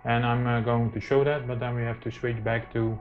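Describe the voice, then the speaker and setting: A man presenting an informal hands-on tech tutorial, speaking haltingly with very frequent hesitations. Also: medium pitch, level tone. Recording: muffled lo-fi mic, music behind.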